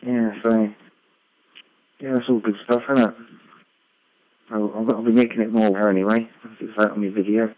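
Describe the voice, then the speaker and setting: Male radio ham, low voice, chatty and rambling, muffled dry digital-radio sound. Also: flat delivery, normal speed, pauses often, no echo. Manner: rambling, frequent tag questions. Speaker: amateur radio operator. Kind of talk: casual ham radio chat. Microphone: radio link played through a computer.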